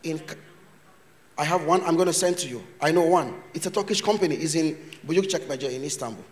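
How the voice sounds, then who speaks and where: A man's voice: a little high, a little fast. A man giving a chatty conference lecture in loose English, mixing in Turkish words and acting out little scenes. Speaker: invited lecturer. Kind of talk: conference talk with Q&A. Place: university conference hall. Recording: reverberant lecture hall.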